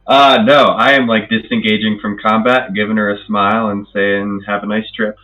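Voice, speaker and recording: A man's voice: lowish voice, level tone, moderate pace, pushed and bright, rough recording. A man chatting casually as a player in a tabletop roleplaying game, describing what his character does.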